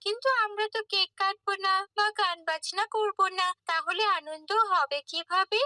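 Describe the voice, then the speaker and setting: A woman voicing a gentle, pious young schoolgirl in a children's cartoon, patient and earnest. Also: extremely high pitch, normal speed, no room sound, studio quality. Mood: sincere, childlike. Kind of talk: scripted children's cartoon dialogue.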